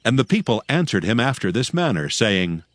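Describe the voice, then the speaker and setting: A man narrating scripture from a script in a solemn, measured storytelling style. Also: mid-range voice, sing-song, no echo. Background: faint background music.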